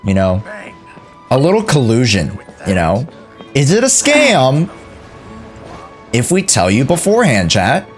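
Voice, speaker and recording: A man live-streaming a game, chatting casually in a slangy, joking way with drawn-out phrasing. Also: medium pitch, huge pitch swings, faint music behind.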